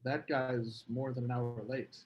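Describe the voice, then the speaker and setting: A man's voice: mid-range voice, level tone, a little slow, highs cut off, close to an everyday voice. A man playing a prickly, snobbish, awkward American academic with a dry manner, in a screenplay table read. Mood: scornful.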